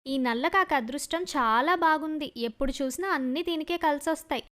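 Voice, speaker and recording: A woman's voice: high-pitched, fairly quick, sharp tone. A woman voicing a jealous, spiteful young woman in a cartoon story. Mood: bitter jealousy.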